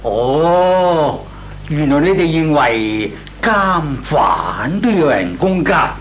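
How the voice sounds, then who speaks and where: A man playing a patient older uncle in an old Hong Kong Cantonese radio comedy, explaining and chiding in a deliberate way. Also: a little high, sing-song, heavily acted. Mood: curious. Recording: old radio recording with hiss and hum.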